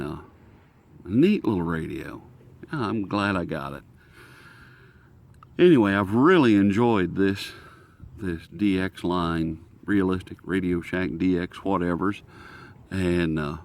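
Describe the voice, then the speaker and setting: A man hosting a hobby channel, giving a casual, unscripted demonstration in a folksy, rambling way as he muses aloud. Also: low voice. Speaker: radio hobbyist channel host. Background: shortwave radio being tuned.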